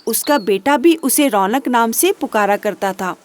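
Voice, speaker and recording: A woman with a high voice tells a children's tale. She takes her time, moving slowly through simple narration in the manner of a storyteller. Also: animated, slight background noise.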